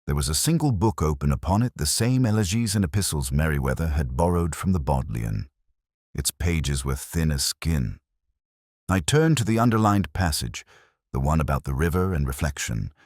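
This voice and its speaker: A man narrating a scripted first-person detective story in a measured, solemn, literary manner. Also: a bass voice, lively intonation, a little mellow, no echo, studio quality.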